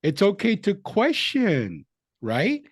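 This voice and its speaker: A man hosting a casual interview, speaking in a halting way and restarting his sentences. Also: high-pitched, huge pitch swings, husky, dry recording, clean studio sound. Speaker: interview host.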